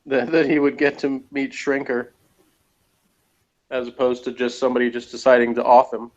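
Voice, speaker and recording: Dull, lo-fi call audio carries a man with a middling pitch. He is a co-commentator on a review show, talking in a casual, conversational way. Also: level tone, normal speed.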